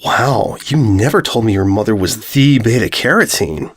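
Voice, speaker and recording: Man as young storybook colt, timid, hesitant, gushing, impressionable, lively intonation. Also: fairly low pitch, moderate pace. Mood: awed, gushing. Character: timid, easily impressed young stallion pony.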